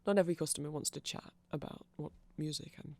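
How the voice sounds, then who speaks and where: A nerdy vampire shopkeeper played by a performer chats in an ASMR roleplay with a gravelly fry in the voice. The delivery is awkward and apologetic, full of stammers and rambling half-sentences, polite throughout. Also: medium pitch, quick pace, darkish tone, natural voice. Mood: shy, rambling. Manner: hesitant, flustered, stammering, apologetic.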